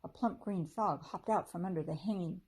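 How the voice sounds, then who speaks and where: A woman teacher reading a children's chapter book aloud in a gentle storytelling manner. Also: on the low side, moderate pace, husky.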